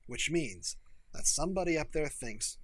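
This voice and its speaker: A man's voice: a little high, lively intonation, normal speed, fairly bright tone, dry recording. A man voicing a bitter, agitated young American barkeep who talks in emphatic, sweary, sarcastic rants. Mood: gloomy, scared.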